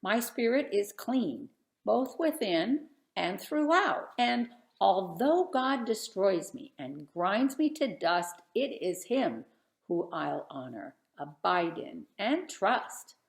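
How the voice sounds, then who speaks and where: A woman's voice: medium pitch, big pitch swings, slow pace, gravelly, voice pushed up, exaggerated voice. A woman voicing a suffering righteous man who pleads in rhyme. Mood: pleading. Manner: rhyming, protesting. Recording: roomy echo.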